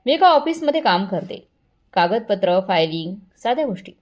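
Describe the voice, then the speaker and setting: A woman voicing a humble older mother who sounds soft and innocent while staying quietly proud, in a family story. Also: mid-range voice, big pitch swings, moderate pace, close to an everyday voice. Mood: feigned naive. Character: meek-seeming older mother, quietly proud, feigning naivety.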